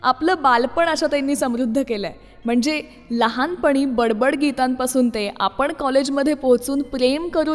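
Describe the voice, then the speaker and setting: A woman presenting a scripted radio show as its host. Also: sits high. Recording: long reverb, music behind. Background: soft music.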